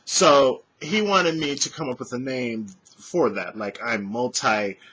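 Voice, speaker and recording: Vlogger in his thirties, animated storyteller with a slightly husky voice, talking straight into a webcam at home. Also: medium pitch, unhurried, lo-fi sound. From California. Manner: animated, gestures a lot, swears freely. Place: small room at home, indoors. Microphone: webcam at desk distance.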